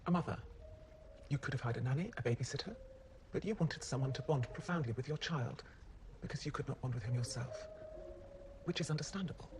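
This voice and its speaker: Man acting a composed, brisk clinical official. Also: medium pitch, lightly acted. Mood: coolly persuasive. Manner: measured phrasing, formal, matter-of-fact. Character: composed, clinical male official defending his organisation. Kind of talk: naturalistic screen drama acting. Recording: noisy location sound.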